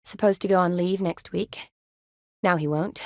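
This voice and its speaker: An actress playing a young woman army medic in a video game, caring, playful and briskly practical, with a natural, teasing delivery. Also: lowish voice, fairly even intonation, mellow, no echo, clean studio sound, close to an everyday voice. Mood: sober, matter-of-fact. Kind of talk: video game character dialogue.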